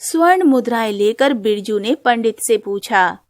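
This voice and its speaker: A woman narrating a scripted animated folk tale as its storyteller. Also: on the high side, moderate pace, dry recording, clean studio sound.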